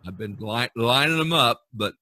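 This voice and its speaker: A man's voice: mid-range voice, animated, normal speed, sharp tone, no room sound. A man hosting a podcast in a casual, rambling, chuckling way. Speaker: podcast host. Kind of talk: casual chat among artists.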